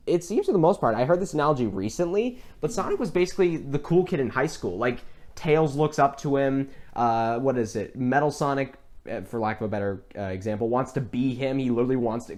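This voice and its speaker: A man hosting a casual review discussion, talking in a rambling, halting way that circles back mid-sentence. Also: mid-range voice, darkish tone. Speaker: podcast host.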